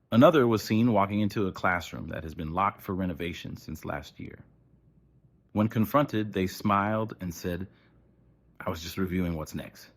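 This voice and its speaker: A man narrating scripted horror as an official emergency broadcast, in a formal, measured, ominous manner. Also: sits low.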